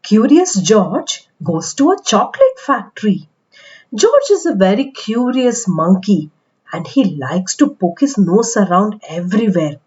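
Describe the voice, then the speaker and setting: A woman reading a picture book aloud to young children in an expressive, deliberate storytelling manner. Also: mid-range voice, highs cut off.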